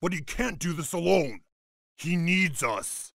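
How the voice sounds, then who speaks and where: An actor playing a grumbling but loyal warrior in a fantasy game, with a gruff, gravelly, emphatic delivery. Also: male voice, on the high side, expressive, measured pace, no room sound, studio quality, full character voice. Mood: urgent. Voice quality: gravelly, forceful. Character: gruff, grumbling, loyal male wolf clan fighter. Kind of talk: dramatic fantasy game voice acting.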